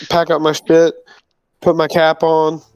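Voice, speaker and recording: A man's voice: a little high, monotone, a little slow, a little mellow, clear, resonant tone, no echo. A man playing a tabletop roleplaying game, talking casually in a laid-back, slangy, informal way.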